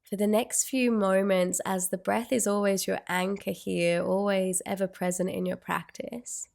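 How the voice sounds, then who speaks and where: A woman leading a guided meditation in a soothing, unhurried way, with gentle phrasing and long pauses. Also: mid-range voice, fairly even intonation, gravelly.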